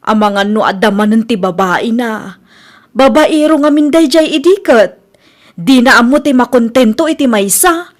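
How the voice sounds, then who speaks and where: Woman playing a neglected, distrustful wife, Filipino accent, raised pitch, radio drama acting. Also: animated, moderate pace, close to an everyday voice. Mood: downcast.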